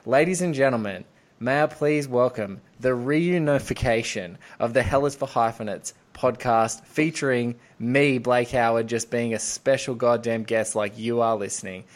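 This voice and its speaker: A man hosting a film podcast in a giddy, effusive, emphatic way. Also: medium pitch. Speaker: podcast host. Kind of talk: enthusiastic film podcast introduction.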